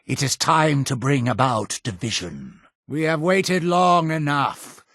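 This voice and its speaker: An actor playing a zealous, imperious cult leader in a video game, with a gravelly, husky voice and solemn, grandiose delivery. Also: male voice, fairly high pitch, animated, slow, a bit bright, dry recording, clean studio sound, exaggerated voice. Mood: urgent, solemn. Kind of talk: theatrical video game dialogue.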